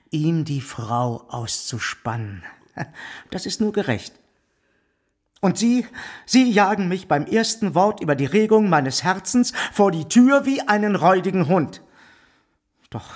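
A fat, vain bourgeois lecher for a radio play, speaks in a highish voice at a slow, deliberate pace. He is pompous and scheming, pressing his suit with theatrical insistence. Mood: menacing, wooing. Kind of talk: German radio drama acting.

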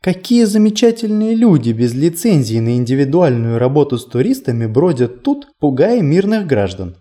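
A woman's voice: deep voice, big pitch swings, normal speed, dark tone. A man voicing a young female police officer who teases with a drawling, mock-strict manner. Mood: mock-stern.

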